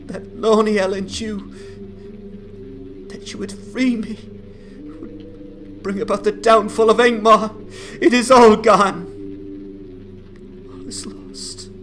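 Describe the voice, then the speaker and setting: A man playing a broken, bitter father in a fantasy game dramatization, halting and choked with anguish. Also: high voice, animated, a bit bright, a little hiss or hum, pitched above the performer's own voice, full character voice. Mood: sobbing despair. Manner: halting, despairing, melodramatic. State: choking sobs, anguished. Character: broken, bitter old father freed from torment. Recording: music under the voice.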